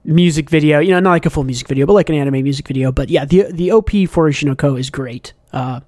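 A man's voice: a little high, fast, a little mellow, no echo, studio quality. A man hosting a manga podcast in casual chat, rambling along with a fan's enthusiasm. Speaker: podcast host.

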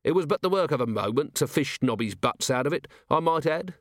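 Guy doing a jaded middle-aged cop boss, sardonic and blunt, lively pitch, audiobook. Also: a little fast, no room sound, studio quality. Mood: wry. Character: cynical world-weary police commander, middle-aged man.

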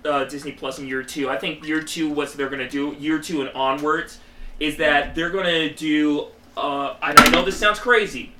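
A man's voice: fairly high pitch, steady tone, moderate pace, sharp tone. A man co-hosting a podcast in casual, opinionated chat, often repeating words as he argues his point. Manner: hesitant, repeats words while arguing. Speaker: podcast co-host. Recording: roomy, distant mic, light background noise.

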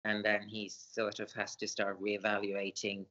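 Swedish-born male author, unhurried and hesitant, middle pitch, slightly muffled lo-fi audio. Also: monotone, sharp tone. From Sweden. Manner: hesitant, many fillers and hedges. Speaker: author guest. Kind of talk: casual interview answers.

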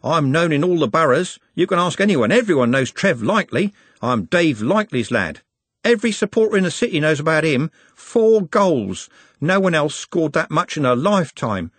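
A man plays a brash young working-class football fan in a storybook, pitched high and very animated. His talk is blunt, slangy and mocking, with a rough, husky edge, recorded close and dry. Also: moderate pace, dry recording. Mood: boastful. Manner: slangy, blunt, matey banter.

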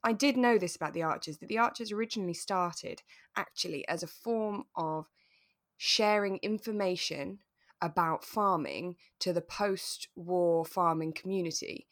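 Woman podcast host, lowish voice, chatty n explaining stuff, relaxed, really dry sound. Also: no room sound. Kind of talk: relaxed casual discussion of a radio drama.

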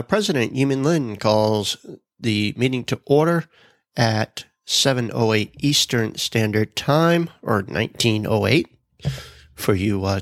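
A man's voice: mid-range voice, dry recording, studio quality. A man hosting a solo podcast, a little stuffed up from a cold, talking casually with frequent ums while reading out meeting notes. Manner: relaxed, many ums and uhs, reads out minutes. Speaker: podcast host.

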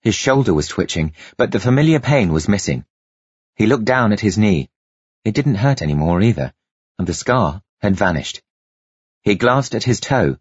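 Husky, expressive male audiobook narrator. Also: on the low side, normal speed, dry recording, highs cut off. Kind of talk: dramatic scripted narration.

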